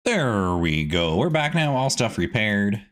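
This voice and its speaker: A man giving casual gameplay commentary as a streaming host, chatty and animated, rambling as he goes. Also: lowish voice, unhurried.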